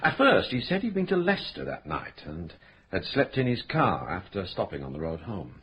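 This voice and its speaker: A level-headed police inspector in a radio crime play. He sets out the case in a measured, courteous way, and his voice rises and falls with feeling under a faint hiss. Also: deep voice, huge pitch swings, lo-fi sound. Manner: measured, polite, explanatory. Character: calm, patient male police inspector.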